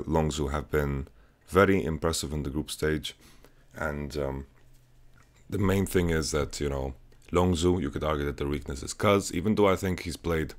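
Young male esports analyst, very low voice, slightly hoarse, measured, lots of ums, headset mic in a small bedroom. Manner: measured, many filler words. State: voice getting hoarse. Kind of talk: solo match preview to camera.

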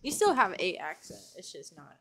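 A woman's voice: on the low side, huge pitch swings, moderate pace, a crowd in the background. An American woman chatting casually as a reaction co-host, in a self-deprecating way.

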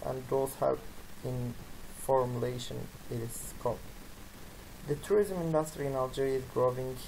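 A presenter who is not a native English speaker, reading through a slide presentation in halting, non-native phrasing. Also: medium pitch, vocal fry, darkish tone, slight background noise, poor audio. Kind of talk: slide presentation, read from text with asides.

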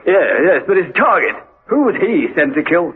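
A woman playing a brisk, baffled prison colonel in a radio drama, husky and clipped in manner. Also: on the low side, big pitch swings. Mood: puzzled, businesslike. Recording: muffled, lo-fi radio recording.

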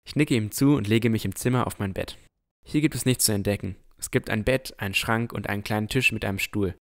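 A man playing an earnest, pensive village boy of sixteen, narrating a fantasy audio drama in a reflective, deliberate way. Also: fairly low pitch, lightly acted.

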